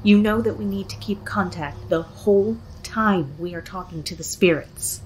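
A woman playing a bossy, eerie teenage girl leading a séance in an audio horror drama, taunting and drawn-out in her delivery. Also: mid-range voice, slight background noise, natural voice, poor audio. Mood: commanding, coaxing.

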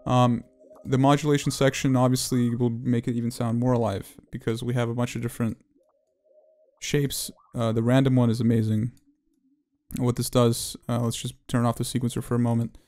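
A man giving an unscripted tutorial walkthrough, talking in a halting way as he thinks aloud. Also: medium pitch, little pitch movement, a little mellow. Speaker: audio plugin demonstrator.

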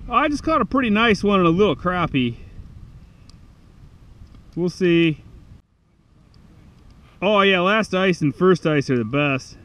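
A man in his thirties, vlogging casually from his truck and out on a frozen lake, thinking aloud in a relaxed, rambling way. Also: high voice, a little slow, long pauses. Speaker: fishing vlogger. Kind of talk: casual vlog narration. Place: inside a parked truck, then outdoors on a frozen lake. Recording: noisy outdoor camera audio.

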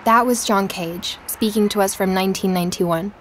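An actress playing a curious woman investigator who hosts a podcast in an audio drama, speaking in a measured, explanatory way. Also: medium pitch, steady tone, fairly bright tone, a little hiss or hum, natural voice. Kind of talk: scripted documentary-style podcast narration.

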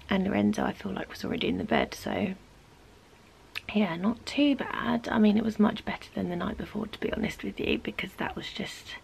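Woman whispering from bed, bunged up with a cold, super gravelly fry, close little camera mic. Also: medium pitch, a little hiss or hum, low-quality recording. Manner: half-whispered, sleepy morning talk from bed. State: stuffy, sore throat. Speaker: vlogger. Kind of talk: casual chat. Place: bedroom, lying in bed. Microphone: camera close by on the pillow.

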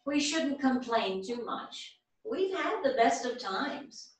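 A woman's voice: sits high, animated, slow pace, slight background noise. A woman playing an inquisitive, wry older lady in a staged play reading. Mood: wry, questioning. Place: small theatre stage, indoors. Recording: very echoey theatre, distant microphone.

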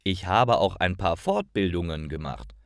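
A man's voice: deep voice, animated, normal speed, vocal fry, smooth, no echo, studio quality. A man reading the part of a polite, nervous young female employee in a storybook. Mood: polite, earnest.

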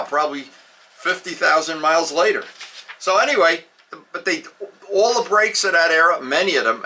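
Older male host, bright fairly high voice, folksy rambling Q&A about motorcycles. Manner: rambling, self-correcting, folksy. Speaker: motorcycle restoration YouTuber. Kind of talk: casual Q&A to camera.

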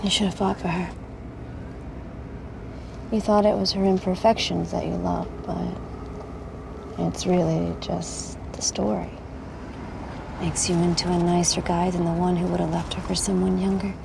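Haunting wistful narrator. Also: female voice, lowish voice, flat delivery, moderate pace, creaky, pauses often, background noise. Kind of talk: brooding film voice-over narration. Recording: film soundtrack with loud music.

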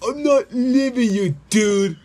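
A man voicing a desperate, protective father in an amateur comic dub, pleading and shouting. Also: very high-pitched, slow pace.

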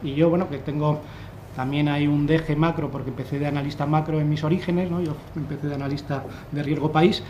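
Higher-voiced man on a finance panel. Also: fairly even intonation, fairly quick, a little mellow, lo-fi sound, a little hiss or hum. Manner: analytical, thinks aloud with many restarts. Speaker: fund manager on a panel. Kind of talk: panel discussion. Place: wood-panelled conference room. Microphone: desk microphone.

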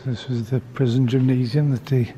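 A man's voice: medium pitch, level tone, moderate pace, mellow. A man vlogging as he tours, giving casual commentary with hesitant, musing asides. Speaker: travel vlogger. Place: old prison building and its grounds. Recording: handheld camera, noticeable background noise.

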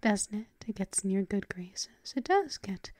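A woman playing a seductive, dominant female vampire mistress in an ASMR roleplay, mostly in a breathy, purring whisper, teasing and possessive. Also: mid-range voice, very animated, darkish tone, dry recording, clean studio sound. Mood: flattering, seductive. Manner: slow, purring, lingering with hums.

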